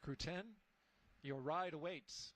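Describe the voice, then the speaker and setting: A man calls a live launch broadcast in a relaxed, conversational tone and keeps it upbeat. His voice sits somewhat higher than most men's. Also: slow pace. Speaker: launch commentator.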